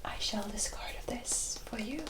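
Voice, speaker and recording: A woman playing a teasing, worldly forest witch in a whispered ASMR roleplay, speaking in a deliberate, familiar way. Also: medium pitch, lightly acted. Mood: matter-of-fact, advising. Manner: unhurried, deliberate, familiar terms of address.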